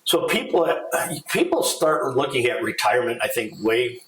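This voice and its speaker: A man's voice: mid-range voice, very animated, moderate pace, fairly bright tone. A middle-aged man co-hosting a financial podcast, talking casually and rambling with many "you know" fillers. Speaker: financial advisor, podcast co-host. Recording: echoey room.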